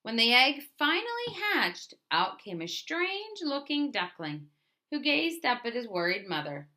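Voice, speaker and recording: A woman reading a children's storybook aloud in an expressive, emphatic way. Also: fairly high pitch, unhurried. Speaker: storybook reader.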